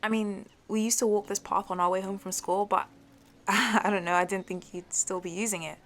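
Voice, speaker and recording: A woman acts out a sporty young woman in an intimate one-sided audio roleplay. She talks to a childhood best friend in a playful, teasing way, competitive but a little halting. Her pitch sits in the middle range for a woman. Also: steady tone, fairly bright tone, a little hiss or hum, lightly acted. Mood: wistful, friendly. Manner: playful, teasing, with hesitant halting starts and giggles.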